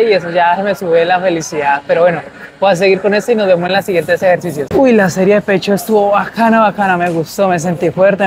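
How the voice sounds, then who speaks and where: A young man, chatting upbeat and casual to camera as a fitness vlogger between sets in a gym. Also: high-pitched. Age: young adult. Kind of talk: casual vlog chat to camera. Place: large indoor gym, then a shopping mall walkway.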